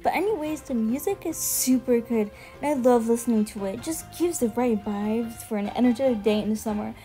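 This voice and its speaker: A young woman with a fairly high voice and a slightly soft, mellow tone records a casual commentary voice-over. She talks like an enthusiastic fan, full of slang and casual swearing, with music playing behind her. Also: a little fast, poor audio. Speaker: video essay host.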